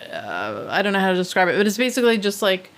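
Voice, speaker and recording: A woman's voice: mid-range voice, very animated, quick pace. A woman from New York, a native Italian speaker, chatting casually and easily as a podcast guest. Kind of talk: casual chat.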